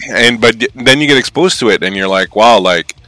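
A man's voice: medium pitch, fairly quick, pushed and bright, no echo, faint music behind, poor audio. A young man from Canada, a guest in a casual chat, talking thoughtfully with plenty of fillers. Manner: thoughtful, rambling, many fillers.

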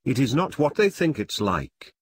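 A man's voice: mid-range voice, very animated, normal speed, slightly rough, no echo, clean studio sound, pitched above the performer's own voice. A man voicing the Reaper, a sad immortal lord of the dead, declaiming mournfully in a dramatic podcast story. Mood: grave.

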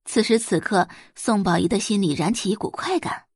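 A woman's voice: mid-range voice, normal speed, slightly rough, dry recording, clean studio sound. A woman narrating a dramatic audiobook story from a script.

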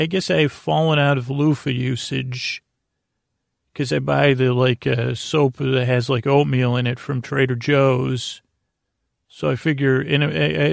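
A man hosting a podcast alone, rambling through whimsical banter in a halting, stammering, meandering way with a gravelly voice. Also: mid-range voice, no echo, studio quality. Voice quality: gravelly, creaky. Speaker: podcast host. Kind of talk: rambling solo banter monologue.

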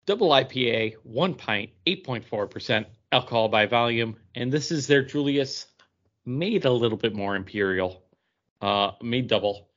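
Male co-host, expressive swings in pitch, relaxed conversation, dull-sounding recording. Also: moderate pace. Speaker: podcast co-host. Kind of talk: casual chat about craft beer.

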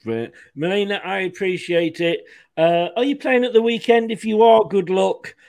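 High-voiced host going hoarse. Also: male voice, normal speed, darkish tone, no echo. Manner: laughing, rambling, repeats himself. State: losing his voice. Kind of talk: casual live-stream chat.

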